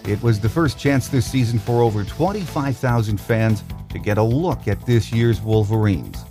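Lower-pitched man hosting a sports podcast. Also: normal speed, music in the background. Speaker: podcast host. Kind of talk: scripted sports news narration and interview hosting.

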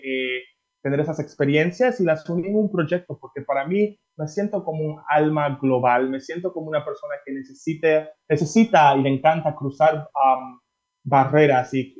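A Dominican-born singer being interviewed, answering in a chatty way with many fillers and restarts. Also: male voice, on the high side, darkish tone, lo-fi sound. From Dominican Republic. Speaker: singer guest.

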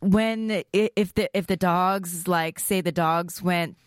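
A woman's voice: lowish voice, steady tone, slow, dry recording, clean studio sound. A woman co-hosting a podcast, complaining and indignant in a casual chat. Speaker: podcast co-host.

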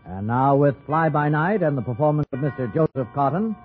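American male announcer, 1950s radio pitchman, fairly high voice, swooping delivery, muffled recording. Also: lively intonation, brisk, soft background music. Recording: muffled old radio broadcast.

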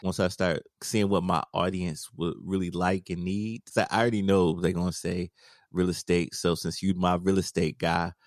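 A man's voice: low voice, little pitch movement, normal speed, dry recording, clean studio sound. A man hosting a casual podcast interview, talking in a laid-back, slangy way and often repeating words. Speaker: podcast host.

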